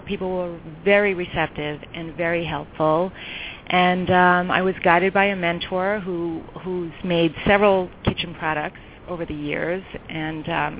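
A middle-aged woman from New York, telling her story chattily as a guest in a round-table interview, with frequent ums. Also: lowish voice. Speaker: guest entrepreneur. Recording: tinny phone line with faint noise.

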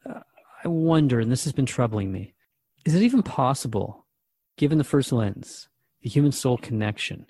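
A man's voice: medium pitch, big pitch swings, normal speed, mellow, slightly rough, no room sound. A man playing an earnest radio host in a radio drama, talking in a thoughtful, hesitant, conversational way. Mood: troubled, searching. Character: Jeff, earnest radio host questioning a spirit.

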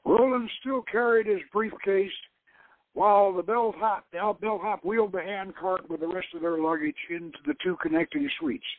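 Phone-like male narrator, slightly high voice. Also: moderate pace, no echo. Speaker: host reading his own novel. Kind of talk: dramatic audiobook-style narration. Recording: narrow phone-like line.

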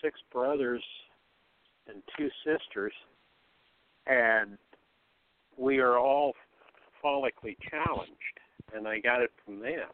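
A man's voice: medium pitch, slow, pauses often, dry recording. Man on a thin, phone-like line. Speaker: radio play cast member. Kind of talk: casual group discussion. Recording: thin phone-like call audio.

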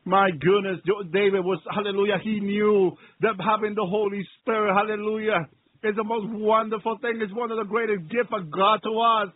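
A man with a strikingly high voice delivers an impassioned, extemporaneous sermon. He repeats phrases insistently and breaks them up with exclamations of praise and prayer. The sound is dry, with hardly any room around it. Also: level tone, moderate pace, no room sound, phone-quality sound. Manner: exclamatory and repetitive, punctuated with praise interjections. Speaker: preacher.

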